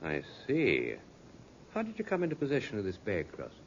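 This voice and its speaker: An actor playing a dry, shrewd police inspector in a 1950s radio drama, with measured, sceptical questioning. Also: male voice, fairly low pitch, wildly swooping pitch. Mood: businesslike. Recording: muffled, scratchy 1950s radio recording.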